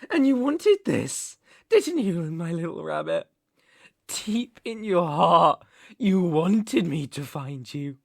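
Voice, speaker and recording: A man with a British accent playing an unstable, obsessive mafia boss in a dramatic ASMR roleplay, possessive and volatile, with a breathy, gravelly voice. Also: fairly high pitch, dry recording, studio quality, heavily acted. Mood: manic, gloating. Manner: possessive, volatile, swinging from whispers to outbursts. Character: unstable, obsessive, menacing male mafia boss, jilted lover.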